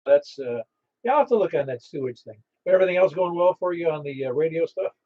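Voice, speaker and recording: A man running a ham radio net, chatting in a relaxed way and reading out call signs, heard over a muffled radio receiver. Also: a little high, fast talker. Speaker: net control operator.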